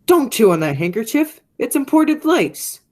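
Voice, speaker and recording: A woman's voice: fairly high pitch, very animated, measured pace, a little mellow, a little hiss or hum. A young woman playing a menacing, murderous man in a radio play. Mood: cold, sinister. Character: menacing murderous man back after twenty years away. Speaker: high school theatre actor.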